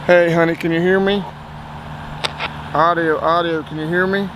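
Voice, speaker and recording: A man's voice: on the high side, normal speed, background noise. Chatty North Carolina man outdoors. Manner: relaxed, chatty, explains as he goes. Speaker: outdoors channel host. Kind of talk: casual gear-test vlog. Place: outdoors by a car.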